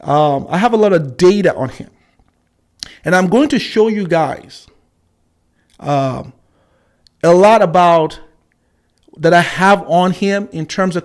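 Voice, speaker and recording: A man in his forties hosting a live stream, combative and repetitive, with frequent fillers and pauses. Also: high-pitched. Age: 40s. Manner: combative, repeats himself for emphasis, frequent fillers. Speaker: channel host.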